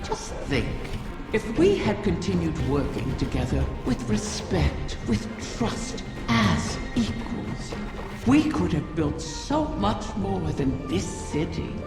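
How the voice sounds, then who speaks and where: A woman voicing an ancient female wish-dragon, captive, sly and wistful, with slow, lilting, mocking tenderness in a video game cutscene. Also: on the low side, sing-song, heavily acted. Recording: echoey hall with music over it. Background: orchestral score.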